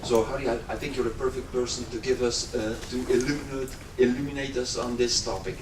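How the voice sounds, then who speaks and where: A man's voice: medium pitch, fairly even intonation. A man chairing a conference session who introduces the speaker formally, often stopping to correct himself. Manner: halting, self-correcting introduction. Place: conference hall. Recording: echoey hall, distant mic, audible noise.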